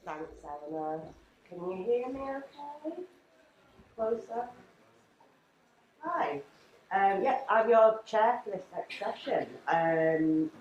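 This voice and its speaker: A woman's voice: mid-range voice, a little mellow, muffled recording, a little hiss or hum. A woman in her thirties with a cold, chairing a conference panel in a friendly, chatty way, with many ums. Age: 30s. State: has a cold, sniffly. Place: conference venue.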